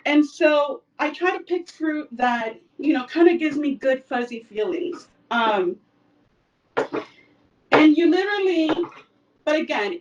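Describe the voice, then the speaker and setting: A woman's voice: high-pitched, far from the mic, lo-fi sound, background noise. A woman from El Salvador giving a casual cooking demonstration in her home kitchen, chatty with frequent fillers. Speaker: cooking instructor.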